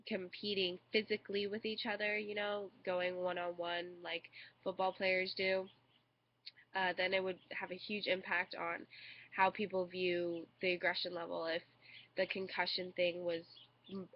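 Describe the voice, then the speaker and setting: A woman's voice: fairly low pitch, flat delivery, moderate pace. A young woman with a congested cold, giving a hesitant student speech into a webcam with many fillers. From United States. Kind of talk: informal recorded speech. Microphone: webcam microphone. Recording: dull lo-fi webcam audio.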